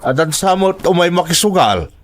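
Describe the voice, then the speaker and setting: A man's voice: sits high, sing-song, moderate pace. An actor playing a brash, joking middle-aged village father who loves gambling, in an Ilocano drama. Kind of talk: radio drama acting in Ilocano. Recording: noisy crowd sound behind.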